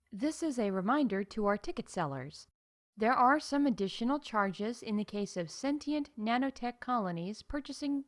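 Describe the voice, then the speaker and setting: A woman reading scripted comedic announcements in a playful, mock-official deadpan as the announcer of a news show. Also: fairly high pitch. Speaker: announcer of a fictional theme park news show.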